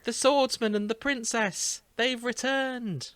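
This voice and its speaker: A man voicing an excitable little girl in a squeaky, exclaiming fan-fiction reading. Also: takes it slowly, clean tone, no room sound, full character voice. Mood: awestruck.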